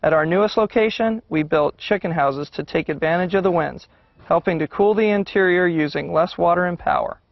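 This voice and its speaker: Higher-pitched, creaky-voiced middle-aged man. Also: a little fast, no room sound, dull audio. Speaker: third-generation egg farmer. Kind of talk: plain explanatory talk to students.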